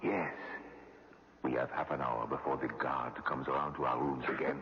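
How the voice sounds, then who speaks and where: An actor playing an imprisoned French general, resolute and concerned, with a deep gravelly voice in a radio drama. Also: male voice, fairly even intonation, moderate pace, full character voice. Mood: secretive concern. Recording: muffled, noisy old radio broadcast.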